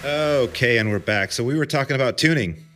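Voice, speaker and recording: A man's voice: medium pitch, brisk, a bit bright. An American man hosting a casual podcast chat about making music, rambling and upbeat with plenty of fillers. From United States.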